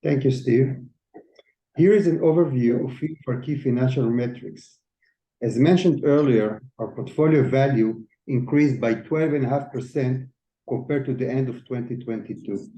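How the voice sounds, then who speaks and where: Male CFO reading prepared results formally; echoey room, somewhat muffled low-fidelity recording. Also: normal speed, mellow. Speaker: chief financial officer. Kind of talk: scripted financial presentation. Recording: echoey, slightly dull audio.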